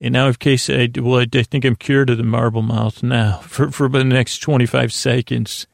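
An American man with a creaky voice hosting a sleep podcast, rambling in a halting, reassuring way as he speaks straight to the listener. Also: medium pitch, flat delivery, dry recording, studio quality. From Syracuse, New York, United States. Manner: meandering, halting, stumbling over words, reassuring. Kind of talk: rambling bedtime monologue, addressing the listener.

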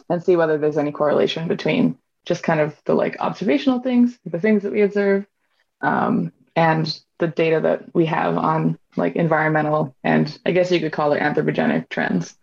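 A woman's voice: fairly low pitch, vocal fry, darkish tone. A woman in her twenties from Hawaii, a PhD student talking casually about her research, full of "like" fillers. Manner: chatty, many "like" fillers. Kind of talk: casual interview talk. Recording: dull lo-fi sound.

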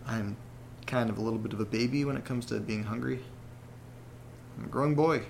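An actor playing a rattled young man who rambles haltingly through a personal audio diary in a self-deprecating way. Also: mid-range voice, gravelly, a little hiss or hum, lightly acted. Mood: wistful, wry. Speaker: narrator character of a horror audio drama. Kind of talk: confessional audio diary monologue, conversational.

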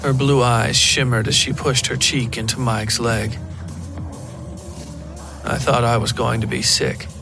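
A man's voice: medium pitch, steady tone, unhurried, creaky, pauses often, over music. A man reading a scripted first-person horror story as the narrator of a fiction podcast.

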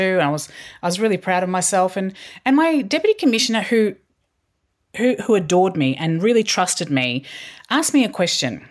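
A middle-aged woman, a communications leader, telling stories as a podcast guest in an earnest way with frequent restarts. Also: medium pitch. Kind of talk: podcast interview, candid storytelling.

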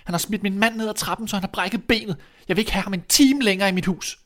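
Guy doing an upset fairytale wife, higher voice, indignant and urgent. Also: fairly bright tone. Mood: indignant, distraught. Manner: urgent, complaining. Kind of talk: fairy tale storytelling.

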